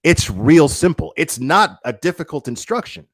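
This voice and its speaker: A man's voice: on the high side, sing-song, moderate pace, no room sound. Blunt, profane man ranting, dry close sound. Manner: emphatic repetition and rhetorical questions. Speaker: online commentator, livestreamer. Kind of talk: livestreamed opinion rant.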